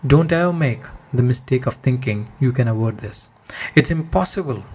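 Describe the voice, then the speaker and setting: A man with a mid-pitched, noticeably husky voice reads a scripted motivational text. He is earnest and deliberate, leaning on key words for emphasis as he delivers the message.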